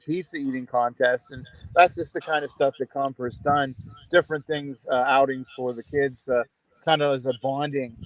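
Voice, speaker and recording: A hoarse man co-hosting a local high school sports talk show, speaking in a halting way with many pauses and restarts. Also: no echo, poor audio. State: hoarse, losing his voice.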